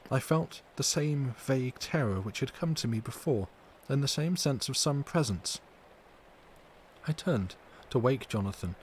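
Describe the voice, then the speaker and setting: A man voicing a distressed but tender and brave young wife, recounting in an earnest, measured, formal way for an audiobook. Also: mid-range voice, close to an everyday voice. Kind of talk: dramatic audiobook character reading. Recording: steady background noise.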